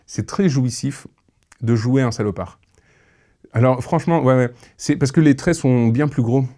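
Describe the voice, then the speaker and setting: A man in his thirties speaking reflectively in an interview, halting and thoughtful, on a lapel mic. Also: mid-range voice, dark tone. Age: 30s. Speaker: interviewee, audio fiction creator.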